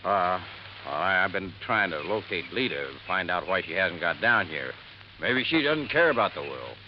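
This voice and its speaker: An actor playing a shifty, defensive suspect in a 1950s radio drama, evasive and stammering. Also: male voice, deep voice, very animated, moderate pace, muffled recording. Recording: noisy old radio broadcast.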